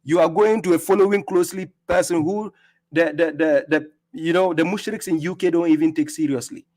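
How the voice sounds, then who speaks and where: A man's voice: fairly high pitch, steady tone, a little fast, darkish tone. A man hosting a religious livestream, arguing in a mocking, taunting way and stumbling over repeated words. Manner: mocking, taunting, laughs at opponents. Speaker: livestream host. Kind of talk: combative religious livestream rebuttal. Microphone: streaming setup.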